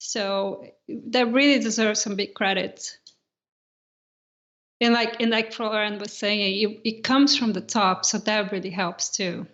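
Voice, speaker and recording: A woman's voice: mid-range voice, steady tone, measured pace, fairly bright tone, highs cut off. A woman talking in casual podcast chat as a panelist, earnest and emphatic.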